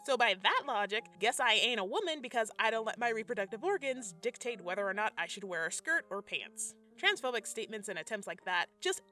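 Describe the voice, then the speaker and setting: Female commentator, sassy and conversational, bright forceful voice, lively intonation. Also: a little high, brisk, hardly any pauses. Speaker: video essay narrator. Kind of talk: scripted commentary with slangy asides. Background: background music.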